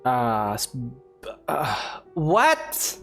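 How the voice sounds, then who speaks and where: A young traveler in a video game. She speaks low, with dry, sardonic delivery that still moves around in pitch. Music is clearly audible underneath. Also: drawn-out words, exaggerated voice. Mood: puzzled, matter-of-fact. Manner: deadpan, sardonic asides.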